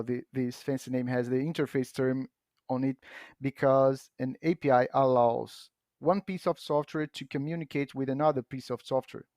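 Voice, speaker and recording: A man whose first language is Portuguese, patiently explaining software on a podcast, often restarting his sentences. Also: medium pitch, dry recording, studio quality. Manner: patient, halting with frequent restarts and fillers. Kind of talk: casual explanatory chat.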